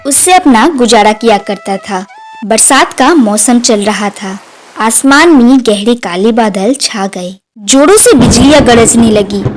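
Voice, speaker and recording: A woman narrates a scripted moral tale as a cartoon storyteller. Her voice is high, and faint music plays beneath it.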